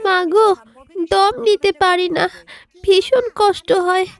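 Woman voicing a plaintive, high-pitched little fish child. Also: fairly even intonation, takes it slowly, soft background music. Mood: plaintive pleading. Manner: plaintive pleading. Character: small suffering young fish child, pleading and timid.

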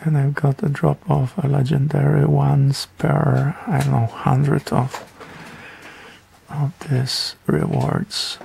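A man with a heavily gravelly, mellow voice talks through a game tutorial. He rambles in an offhand, hesitant manner, with faint noise behind him. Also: mid-range voice, flat delivery, takes it slowly. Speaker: gaming video creator. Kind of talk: casual game tutorial commentary.

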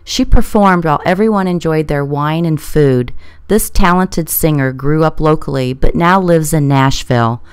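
A woman's voice: sits low, moderate pace, clean tone, dry recording. A woman reading scripted promotional narration.

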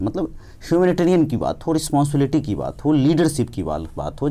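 Mellow low-voiced Indian man teaching. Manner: repeats phrases for emphasis. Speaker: exam coaching teacher. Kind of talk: informal explanatory lecture for exam students.

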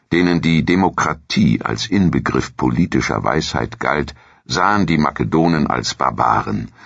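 A man narrates an audio documentary in a formal, measured way. His voice is rough and creaky, with a husky grain. The dry recording sounds a little muffled, as if storytelling in a small dead studio. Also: a bass voice, fairly bright tone, no room sound.

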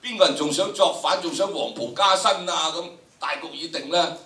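A humble, frightened general pleads before the throne in a historical tale, acted out by a man. The Hong Kong Cantonese voice is bright and exclaiming, with theatrical deference. It rings from afar in a roomy hall. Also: fairly high pitch, normal speed, voice pushed up. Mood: alarmed protest. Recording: echoey lecture hall, distant microphone.

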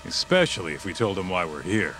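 An actor playing a cocky, wisecracking streetwise sidekick in a video game, talking in casual, slangy, foul-mouthed style. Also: male voice, low-pitched, big pitch swings, fairly quick, bright, forceful tone, slight background noise, full character voice. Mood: curious, excited. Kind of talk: video game cutscene acting.

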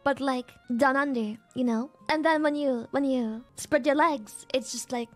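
Very high-pitched giggly woman streamer. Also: a little slow, soft background music. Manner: giggly, teasing, breaks into frequent laughter. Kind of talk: crude casual chat with viewers.

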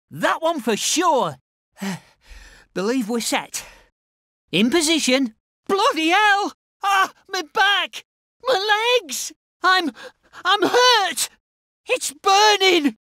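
A timid infantryman in a war game, with a notably high voice that swings up and down. He sounds unsure of himself and hesitates politely, taking his words slowly. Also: sharp tone, no echo, clean studio sound, full character voice. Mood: tentative reporting, then panicked. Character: timid, unsure British infantry soldier, eager to obey. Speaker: infantry soldier unit voice. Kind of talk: video game unit barks.

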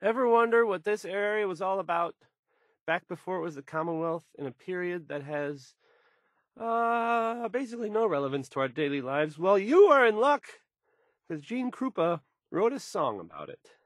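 An awkward young male DJ in a video game. He stammers and hesitates through his song introductions, his pitch jumping around. The sound is dry and studio-clean. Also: big pitch swings, normal speed, dry recording. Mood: nervous, rambling. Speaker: radio DJ. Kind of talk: halting radio DJ patter between songs.

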